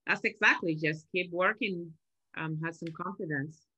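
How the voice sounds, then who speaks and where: A woman hosting a student question session over a video call, speaking encouragingly and pausing with fillers as she thinks. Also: lowish voice, background noise. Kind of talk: moderating a student Q&A.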